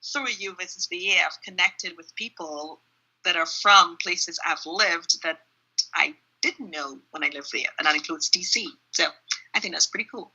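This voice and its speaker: Middle-aged woman, guest on a phone call; sharp, bright voice, thoughtful and searching for words. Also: fairly low pitch, moderate pace, breathy edge. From Trinidad. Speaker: interview guest, runner. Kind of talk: casual reflective interview answers. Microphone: phone held in hand on a video call.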